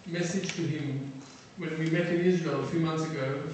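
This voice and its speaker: A middle-aged man from South Africa giving a lecture to an audience, halting often with fillers and restarts. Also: a little high, steady tone. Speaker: defense attaché giving a lecture. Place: lecture hall. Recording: very echoey hall, from far off, faint noise.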